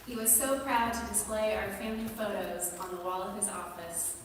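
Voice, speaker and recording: A woman delivers a prepared family eulogy in measured phrases at a middling pitch. Her voice rings through a very reverberant hall, picked up from a distance. Clear noise sits behind it. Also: fairly even intonation. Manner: measured reading in short listed phrases. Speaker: family member giving a eulogy. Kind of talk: prepared memorial tribute. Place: large indoor memorial gathering space. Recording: echoey hall, distant mic, noisy.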